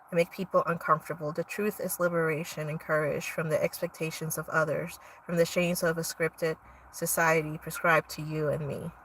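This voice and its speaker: A woman's voice: lowish voice, fairly bright tone, recorded from a distance, slight background noise, rough recording. A young woman hosting a livestream, reading her own poems aloud with casual asides between them.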